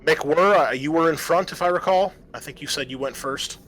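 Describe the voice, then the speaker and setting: A man narrating a tabletop roleplaying game as game master, describing scenes in a deliberate, halting way. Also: a little high, fairly bright tone, rough recording.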